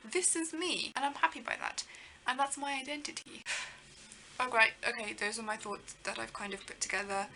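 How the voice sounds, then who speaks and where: A woman's voice: fairly high pitch, steady tone, normal speed, gravelly, sharp tone, a little hiss or hum, low-quality recording. A young woman from Kenya, talking reflectively to camera in a rambling, earnest, self-deprecating way. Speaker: vlogger. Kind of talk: reflective casual talk to camera.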